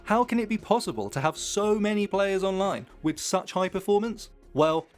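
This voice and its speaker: Male video narrator, scripted explainer, fairly high pitch, background music. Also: normal speed.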